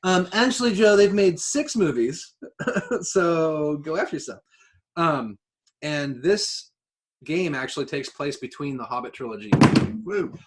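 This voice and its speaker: A man co-hosting a podcast, talking in an enthusiastic, informal way as he pitches his ideas. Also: expressive, a little slow, a little hiss or hum. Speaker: podcast co-host. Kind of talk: casual podcast chat.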